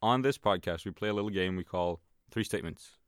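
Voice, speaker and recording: A man's voice: deep voice, a little fast, clean tone, no echo, studio quality. A young man hosting a casual podcast, asking questions and backing the guest with short replies. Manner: steady listener, frequent "mm-hmm". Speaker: podcast host.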